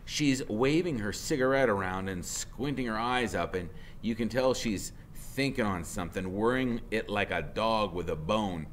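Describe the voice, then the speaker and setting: A man narrating a first-person story aloud in an expressive, dramatic way. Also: on the low side, normal speed, few pauses, a little hiss or hum. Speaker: audiobook reader, teacher.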